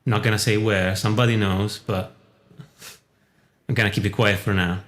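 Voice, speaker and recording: Low-voiced man chatting casually. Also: fairly even intonation, moderate pace, fairly bright tone. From Italy. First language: Italian. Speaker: livestream guest. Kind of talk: casual chat.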